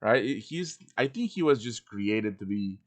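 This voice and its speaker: A man's voice: fairly low pitch, lively intonation, a little slow. Man casually teaching a live tutorial. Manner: chatty, explains step by step with check-in tags. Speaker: host and instructor of a livestream tutorial. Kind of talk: informal live software tutorial.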